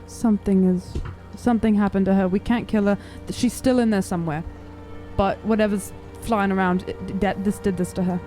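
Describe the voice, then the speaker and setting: A woman's voice: mid-range voice, steady tone, a little fast, mellow, rough recording. A woman chatting as a player in a tabletop game, eager and questioning, thinking aloud as she goes. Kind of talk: casual in-game chat. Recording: faint music under the voice. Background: faint background music.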